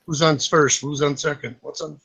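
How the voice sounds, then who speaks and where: A man's voice: on the high side, steady tone, moderate pace, gravelly, fairly bright tone, slightly rough, lo-fi sound. A man in his fifties chatting casually on a group call, blunt, swearing freely and telling stories. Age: 50s. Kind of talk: casual late-night group chat. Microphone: online group video call.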